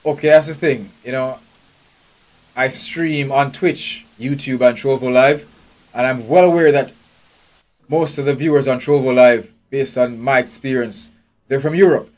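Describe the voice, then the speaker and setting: A man's voice: medium pitch, steady tone, a little slow, poor audio. A man streaming a game live, thinking aloud in a blunt, profane way and nitpicking every detail. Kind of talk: live gameplay commentary. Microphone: headphones with a desk mic. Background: faint game music.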